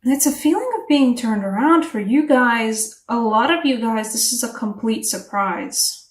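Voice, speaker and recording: A woman giving a casual tarot reading to camera, chatty and animated, English not her first language, laughing at herself between rambling asides. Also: fairly high pitch, moderate pace, distant mic. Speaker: tarot reader. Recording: echoey room, voice a bit off-mic.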